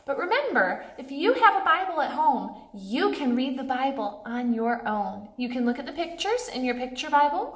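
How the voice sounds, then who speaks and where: A woman doing a read-aloud for young children, speaking in simple phrases aimed straight at little listeners. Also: high voice. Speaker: church pastor reading a children's Bible story aloud. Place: indoors in a classroom. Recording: echoey classroom.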